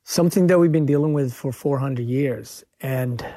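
A man's voice: medium pitch, moderate pace, warm tone, dry recording, studio quality. A man from Sweden, a chef and author, answering questions earnestly in a radio interview. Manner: earnest, reflective, frequent "right?" tags.

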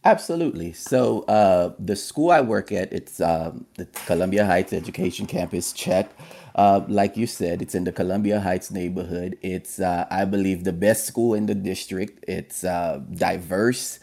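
A man from Haiti who teaches history, answering interview questions in a halting way with many fillers. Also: low voice, vocal fry, mellow. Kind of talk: radio interview guest.

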